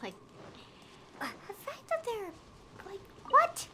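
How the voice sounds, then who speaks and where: Very high-pitched, animated woman streamer with background noise. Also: takes it slowly. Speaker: video game livestreamer. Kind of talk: casual rambling chat while gaming.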